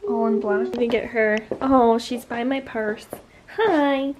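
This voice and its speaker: A woman's voice: a little high, steady tone, a little slow, background noise. A woman in her early twenties, talking casually and rambling through a home vlog, full of fillers. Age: 20s. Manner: chatty, rambling with many fillers. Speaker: vlogger.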